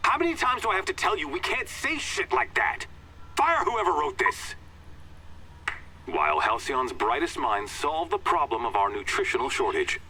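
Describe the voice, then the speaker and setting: A man's voice: medium pitch, pushed and bright, a little hiss or hum, poor audio. A pompous, ranting colony chairman. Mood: angry ranting. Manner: smooth public-address delivery breaking into profane rants. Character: pompous foul-tempered male colony chairman. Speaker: corporate chairman.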